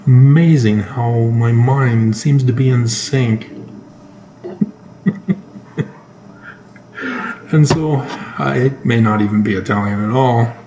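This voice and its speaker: A man with a North American accent giving informal, sarcastic news commentary, halting often mid-sentence. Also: medium pitch, highs cut off. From North America, moved around the United States and Canada. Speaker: solo commentator. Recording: echoey room with faint background noise.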